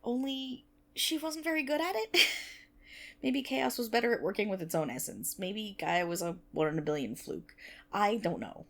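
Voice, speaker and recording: Actress as nerdy best friend, female, ASMR roleplay. Lively, swooping intonation, mid-range voice, rambling, wry asides. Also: medium pitch. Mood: enthusiastic storytelling. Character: nerdy, playful best friend rambling through Greek myths. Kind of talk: ASMR roleplay, casual one-sided chat to listener.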